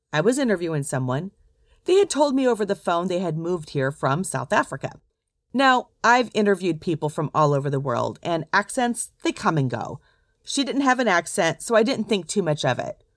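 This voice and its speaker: A woman's voice: fairly low pitch, dry recording, studio quality. A woman hosting a solo podcast, telling stories in a direct, conversational way from a script. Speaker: podcast host, recruiter.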